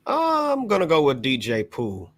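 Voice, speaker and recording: A man's voice: a little high, huge pitch swings, a little slow. An American man chatting casually as a panelist on a music podcast. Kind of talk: casual conversation, agreeing and reminiscing.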